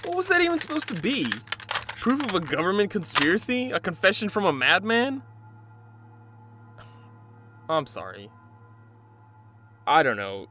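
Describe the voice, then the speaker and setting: A man's voice: sits high, huge pitch swings, unhurried, pauses often, bright, forceful tone, faint music behind, exaggerated voice. An actor playing a frustrated young man who pushes his friend to go out, his voice bursting upward when upset. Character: exasperated young male friend, pushy. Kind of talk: audio drama dialogue.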